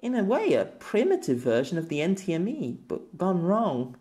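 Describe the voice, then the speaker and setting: Guy doing a fantasy lady, voice pitched up, earnest and sorry, audiobook farewell. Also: wildly swooping pitch, moderate pace, mellow. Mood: apologetic, earnest. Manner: earnest, explanatory, pleading. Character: earnest, apologetic woman from fantasy world writing farewell.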